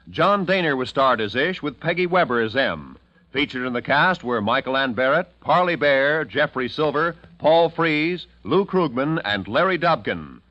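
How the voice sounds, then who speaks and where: A man's voice: medium pitch, pushed and bright, no room sound, highs cut off. A man with an American accent reading scripted credits as a 1940s network radio announcer. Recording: old radio broadcast with music.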